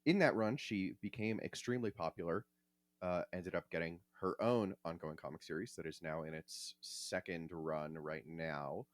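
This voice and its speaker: A man's voice: deep voice, normal speed, no echo. A man co-hosting a podcast, explaining things in a casual, conversational way. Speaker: podcast co-host.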